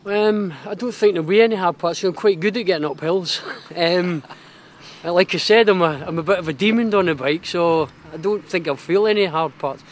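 A man's voice: sits high, moderate pace, a little hiss or hum. A man in his forties, a first-time charity cyclist, talking lightly in an outdoor interview. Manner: light, self-deprecating, laughs. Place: outdoors at an airbase.

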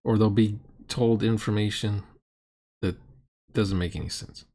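A man's voice: fairly low pitch, steady tone, measured pace, mellow. A middle-aged man giving casual, sardonic and critical commentary on a roleplaying game.

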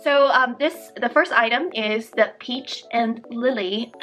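A young woman talking casually to camera in her bedroom while doing her skincare, chatty and bubbly, her voice slightly hoarse. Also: a little high, slow, a bit bright, rough recording. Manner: chatty and bubbly, repeats words. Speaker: beauty vlogger and teacher. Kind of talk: casual get-ready-with-me chat. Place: bedroom with dresser and fairy lights. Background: background music.

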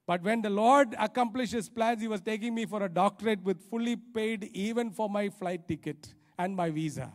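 A man's voice: sits high, moderate pace, hardly any pauses. A middle-aged man preaching a sermon emphatically into a handheld mic, repeating key phrases and adding rhetorical questions. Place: large church auditorium stage, indoors. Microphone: handheld microphone.

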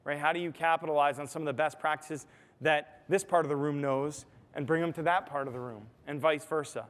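A man's voice: fairly high pitch, steady tone, brisk, a bit bright. An American man giving a conversational conference keynote, explaining points and often checking in with "right?", recorded on a lapel mic in a large ballroom. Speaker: technology executive giving a keynote. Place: large hotel ballroom stage, indoors. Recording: reverberant hall, amplified stage sound.

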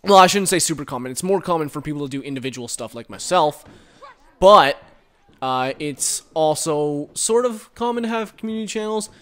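A man giving casual, rambling commentary while playing a game, recorded on a headset and reacting loudly to the action. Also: fairly high pitch, lively intonation, brisk. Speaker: gaming commentator.

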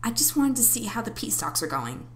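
A woman's voice: a little high, big pitch swings, fairly quick, fairly bright tone, pitched above the performer's own voice. A woman voicing a spirited young female cartoon echidna guardian, a perky, emphatic babysitter who is doting yet bossy. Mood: cheerful. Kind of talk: amateur character voice audition. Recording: clearly noisy home recording.

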